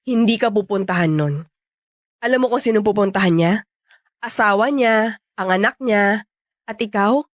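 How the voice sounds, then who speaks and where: A woman's voice: mid-range voice, moderate pace, long pauses, no room sound, studio quality, lightly acted. A Filipino woman acting in a radio drama as a blunt, sarcastic young best friend who scolds and teases. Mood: mocking. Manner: sassy, tactless, nagging.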